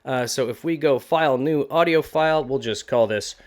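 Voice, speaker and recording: A man's voice: mid-range voice, moderate pace. A man hosting a tutorial as a voice actor, talking casually and informally with some self-deprecating humour. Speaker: host, voice actor giving a tutorial. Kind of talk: casual instructional talk.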